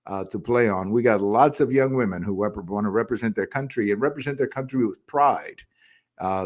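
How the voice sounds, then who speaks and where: A man's voice: on the low side, darkish tone. A man hosting an opinion podcast, talking conversationally and emphatically in a monologue, repeating phrases to press his points.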